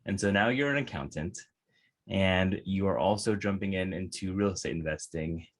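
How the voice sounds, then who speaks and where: Lower-voiced male podcast host. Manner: upbeat intro, then halting with many fillers. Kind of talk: casual interview hosting.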